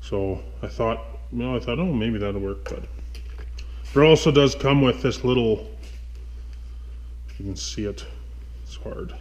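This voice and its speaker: A man's voice: medium pitch, unhurried, long pauses, darkish tone, poor audio. A man rambling hesitantly as he thinks aloud in a casual, unscripted gear walkthrough. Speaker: motovlogger host. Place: indoors in a garage workshop.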